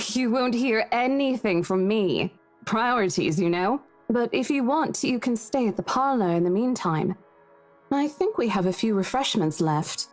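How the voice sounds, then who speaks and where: An actress playing a courteous, busy real estate agent in a visual novel, composed and accommodating in manner. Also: female voice, a little high, expressive, a little slow, faint music behind.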